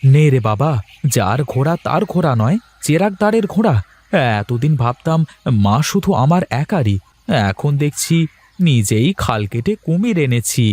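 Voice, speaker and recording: A man's voice: mid-range voice, very animated, a little slow, darkish tone. A man voicing an affectionate young cartoon husband and dutiful son, playful and earnest in his delivery. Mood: mock complaining. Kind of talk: cartoon voice acting.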